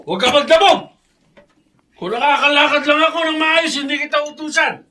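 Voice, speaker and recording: Shrill, bossy Filipino father. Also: a little fast, bright, forceful tone, a little hiss or hum. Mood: angry, demanding. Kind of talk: Filipino radio drama acting.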